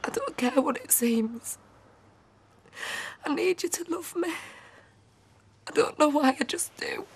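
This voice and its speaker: Tearful grieving daughter. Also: female voice, sits high, darkish tone, exaggerated voice. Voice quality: tearful, pleading. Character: grieving young woman whose mother has died.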